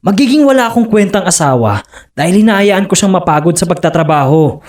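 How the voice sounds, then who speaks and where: A man's voice: high-pitched, expressive, fairly quick, darkish tone. An actor playing a proud, jealous fisherman husband and father in a radio drama, stubborn and defensive. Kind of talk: broadcast radio drama acting.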